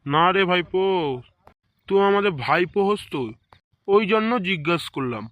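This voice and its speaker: A man's voice: high-pitched, expressive, moderate pace, a bit bright, dry recording. A man voicing a middle-aged village elder in a comedy cartoon, nosy and indignant. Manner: questioning, indignant, repetitive. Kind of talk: comedy cartoon dubbing in colloquial village speech.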